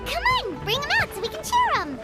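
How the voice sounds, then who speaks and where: Video game fairy companion: very high, childlike, hugely animated, cheerful chatterbox. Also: female voice, a little fast, loud music behind the voice, exaggerated voice. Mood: friendly, chatty. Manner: refers to self in third person, bubbly, eager.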